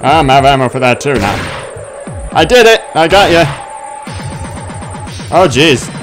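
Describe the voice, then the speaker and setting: A man in his twenties doing casual, excitable gameplay commentary full of exclamations and mild crude language. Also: on the high side, a little slow, faint music behind. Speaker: gaming video host.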